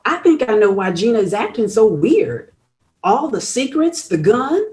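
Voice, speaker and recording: Woman acting a conspiratorial snoop, lively pitch. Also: normal speed. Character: nosy, suspicious, conspiratorial female snoop. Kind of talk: table read of a screenplay.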